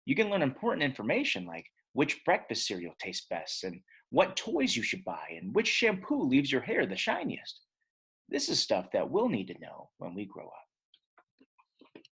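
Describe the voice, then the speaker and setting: A man's voice: mid-range voice, vocal fry, breathy edge, heavily acted. A man voicing a grumpy, opinionated eight-year-old boy who hates school, telling his story emphatically in a children's storybook. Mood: matter-of-fact griping. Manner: emphatic, expressive. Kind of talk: first-person children's storybook narration.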